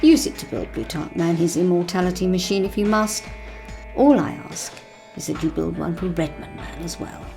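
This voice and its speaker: A woman voicing a mysterious, secretive woman who speaks in a cool, knowing, measured way. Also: lowish voice, creaky, dark tone. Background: music.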